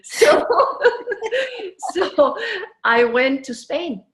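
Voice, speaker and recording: Accented woman telling stories lively. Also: high voice, extremely slow, echoey room. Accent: foreign accent. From Denmark, raised in Venezuela. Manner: animated storytelling, laughs often, many restarts. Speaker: entrepreneur guest. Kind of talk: casual interview chat.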